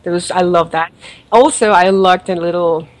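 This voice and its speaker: A woman's voice: lowish voice, steady tone, moderate pace, low-quality recording. A woman with an accent, chatting casually on a podcast and rambling with fillers and laughs as she reviews a mobile game. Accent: non-native accent, origin not stated. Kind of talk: casual chat.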